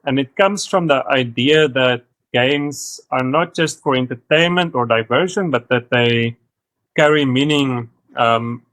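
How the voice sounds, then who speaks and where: A man's voice: mid-range voice, measured pace, a bit bright, no echo. A man in his thirties with a South African accent, talking as a guest in a podcast interview, hesitant, with many fillers and restarts. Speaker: guest, studio co-founder.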